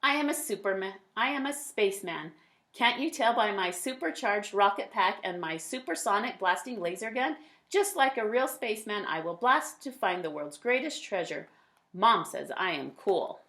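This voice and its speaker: A woman's voice: mid-range voice, expressive, normal speed, a bit bright. A woman voicing an imaginative, boastful little boy from a storybook, declaring his dress-up adventures emphatically. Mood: boastful, excited. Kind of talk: children's storybook character reading.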